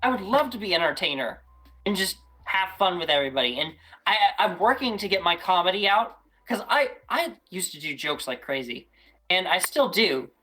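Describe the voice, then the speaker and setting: A woman talking as a guest on a casual podcast, chatty and animated, often restarting her sentences. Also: lowish voice, fairly quick, soft background music, poor audio.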